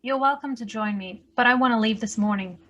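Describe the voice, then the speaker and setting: A fairly high-pitched woman's voice from an actress plays an anxious wife at a drama table read. She comes across as earnest and determined. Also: brisk, a bit bright, muffled recording. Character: anxious, determined wife wanting to find her husband.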